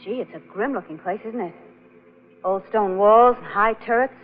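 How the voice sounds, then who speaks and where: An actress playing a curious, outspoken woman, a detective's devoted companion, expressive and inquisitive in a 1940s-style radio mystery. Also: medium pitch, normal speed, close to an everyday voice. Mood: curious, uneasy. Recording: tinny, hissy old radio recording.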